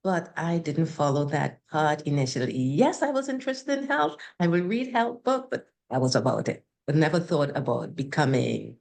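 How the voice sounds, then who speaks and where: Older woman, Trinidadian accent, low-ish voice, measured. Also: sing-song, creaky, darkish tone. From Trinidad and Tobago. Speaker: guest. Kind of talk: interview conversation.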